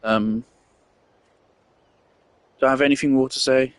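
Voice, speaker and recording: A young man giving casual, opinionated game commentary, blunt and sweary, pausing as he thinks. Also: medium pitch, fairly even intonation, smooth, no echo. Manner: blunt, sweary, hesitant phrasing. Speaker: game streamer.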